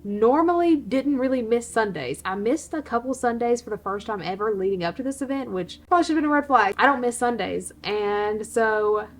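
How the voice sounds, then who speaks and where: A woman hosting her own channel, giving an unscripted casual update to viewers, a little hoarse and pausing for breaths. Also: fairly high pitch, expressive. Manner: unscripted, breathy pauses, laughs often. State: slightly hoarse, short of breath. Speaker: reptile channel host.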